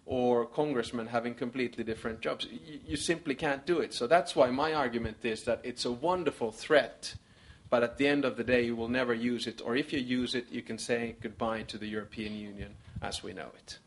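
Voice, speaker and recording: A man on a conference panel lays out his argument point by point. His voice sits at a middle pitch, and his manner is measured and methodical. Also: steady tone. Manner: measured, counts off points one by one. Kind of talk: answering audience questions at a conference.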